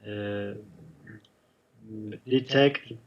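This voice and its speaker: A man's voice: lowish voice, very slow, muffled recording. A man learning English, speaking hesitantly, repeating words and searching for phrasing. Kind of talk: lesson conversation.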